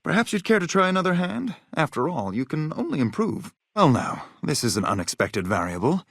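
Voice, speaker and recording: A man's voice: a little high, sing-song, fairly quick, creaky, slightly rough, dry recording, clean studio sound, full character voice. A man voicing an arrogant, lazy genius soldier in a video game, pompous and pedantic in manner. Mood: smug, condescending. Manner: pompous, condescending, pedantic wording. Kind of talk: scripted game character dialogue.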